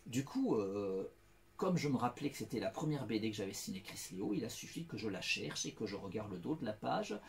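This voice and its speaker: Rambling, lively-voiced middle-aged man. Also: lowish voice, a little fast, creaky, a little hiss or hum. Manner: meandering, repeats himself, many fillers and restarts. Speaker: self-styled philosopher. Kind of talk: rambling personal monologue.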